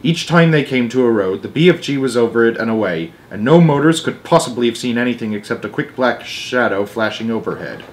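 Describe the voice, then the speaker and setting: A man narrating a children's book aloud in an expressive way, with dramatic emphasis phrase by phrase. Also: mid-range voice, fairly quick, hardly any pauses. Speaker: storyteller.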